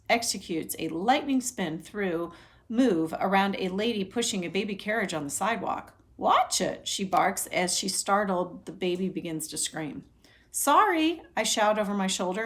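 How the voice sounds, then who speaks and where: A woman reads a children's novel aloud in an ordinary mid-range voice. She narrates expressively, like a teacher with a class book, and gives the dialogue some life. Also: medium pitch.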